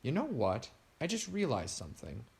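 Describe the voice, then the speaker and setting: A man's voice: medium pitch, wildly swooping pitch, moderate pace, vocal fry, a little mellow, voice pushed up. A man reading aloud, voicing a dry, matter-of-fact young woman on a mystery team.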